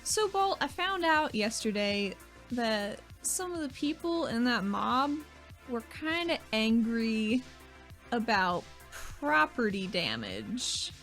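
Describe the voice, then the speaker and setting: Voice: mid-range voice, big pitch swings, slow, a bit bright, faint music behind, full character voice. A woman playing a friendly, persuasive female teammate in a tabletop game, coaxing in a casual way. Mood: tentative. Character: Sedaris, friendly coaxing woman who flatters her teammate.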